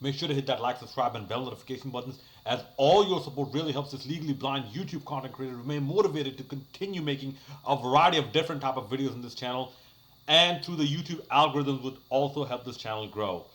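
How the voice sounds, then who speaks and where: A man's voice: mid-range voice, quick pace, a bit bright, rough recording. A young man talking casually to his channel, giving a rambling review of a cricket match full of fillers and restarts. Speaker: cricket YouTuber.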